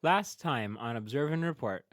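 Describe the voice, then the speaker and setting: A man's voice: medium pitch, animated, normal speed, no echo, studio quality. A man giving a scripted introduction as an announcer for an audio drama podcast. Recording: loud piano music behind.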